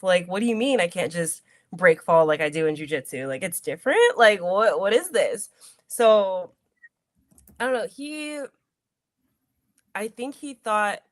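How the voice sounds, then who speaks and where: A woman's voice: fairly low pitch, no echo, studio quality. A woman who wrestles, chatting as an interview guest in an upbeat, giggly way with plenty of "like". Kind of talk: casual interview chat.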